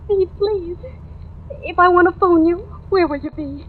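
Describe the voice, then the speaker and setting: A woman's voice: extremely high pitch, unhurried. A woman playing a frightened, pleading young wife in old-time radio drama. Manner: anxious, pleading. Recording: tinny, noisy vintage radio recording.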